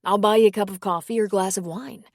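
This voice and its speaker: A woman's voice: mid-range voice, steady tone, quick pace, no room sound, studio quality, lightly acted. A woman reading as an earnest former priest. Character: gentle middle-aged man, former priest, earnest. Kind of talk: audiobook character reading.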